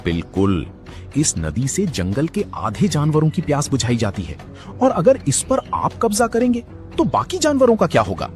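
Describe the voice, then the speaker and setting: A man's voice: a little high, huge pitch swings, moderate pace, a little mellow, faint music behind. A man voicing an indignant, complaining jungle animal in a cartoon fable. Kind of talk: animated children's fable acting.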